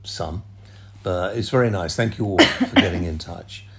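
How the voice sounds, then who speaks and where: A man's voice: deep voice, normal speed, heavy background noise. An older man, hosting a casual podcast chat and laughing often. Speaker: podcast host.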